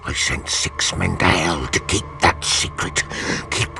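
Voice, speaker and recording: An actor playing a drunken old pirate, raving erratically in a strained, creaky voice in a radio drama. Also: male voice, low voice, unhurried, sharp tone, soft background music, full character voice. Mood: crazed, demanding.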